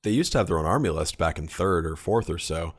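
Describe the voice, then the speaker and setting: A man's voice: low voice, expressive, fast talker, breathy edge. A man co-hosting a podcast, talking informally and keenly about his hobby. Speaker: podcast co-host. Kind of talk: casual hobby discussion.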